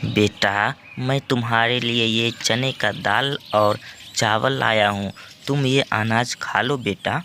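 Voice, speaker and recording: A man voicing a calm, caring mother bird in a cartoon story, giving measured advice. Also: medium pitch, a little hiss or hum. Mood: calm, advising. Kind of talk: acted cartoon moral story.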